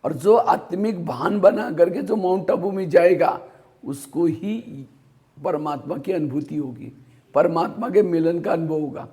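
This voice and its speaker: A man's voice: a little high, measured pace, dark tone. A man giving a spiritual class, telling anecdotes and asking rhetorical questions that he answers himself. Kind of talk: Hindi spiritual discourse.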